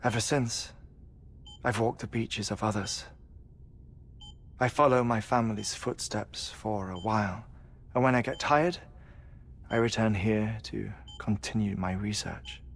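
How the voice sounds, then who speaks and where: An actor playing a grieving, eccentric middle-aged scientist in a video game, speaking in a measured, scholarly way. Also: male voice, fairly low pitch, creaky, slight background noise. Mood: wistful. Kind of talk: dramatic video game cutscene acting. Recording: music behind the voice.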